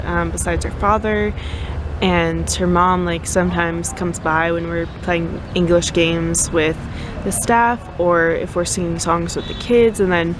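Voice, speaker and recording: A young American woman talks casually to her viewers in a slightly low voice. She sounds like a vlogger chatting off the cuff, with clear vehicle and outdoor noise around her. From United States. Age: young adult. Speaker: vlogger on a mission trip. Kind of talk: casual chat to camera.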